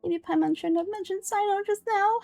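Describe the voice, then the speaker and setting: A woman voicing a small, childlike, excitable fairy companion from a game in a high-pitched voice. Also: brisk, gravelly, dry recording, faint music behind. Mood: anxious. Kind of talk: amateur voice-over of game dialogue.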